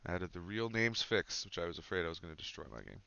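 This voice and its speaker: Stuffy-nosed male streamer, low and sharp-edged, relaxed musing with quick excited outbursts. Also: creaky, clean tone, muffled recording. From Canada. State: stuffed up with a cold. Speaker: streamer playing a football management game. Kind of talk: casual gameplay commentary.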